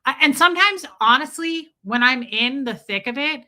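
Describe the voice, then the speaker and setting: A woman's voice: high voice, lively intonation, normal speed, bright, forceful tone. A woman with a Southern accent, hosting a casual livestream and chatting with viewers by name. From Southern US, near Nashville. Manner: chatty, answers viewers by name, frequent restarts. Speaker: livestream host. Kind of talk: casual live chat reading comments.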